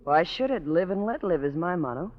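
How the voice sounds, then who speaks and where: A woman's voice: lowish voice, animated, fairly quick. An actress playing a wary, sensible young office woman with an arch manner in a 1940s radio drama. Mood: wry, guarded. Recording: dull vintage 1940s radio recording.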